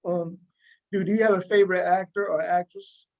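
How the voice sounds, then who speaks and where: Man, high-pitched voice, interview host, casual chat, repeats and restarts phrases, video call. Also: steady tone, normal speed, gravelly, a little mellow, dull audio.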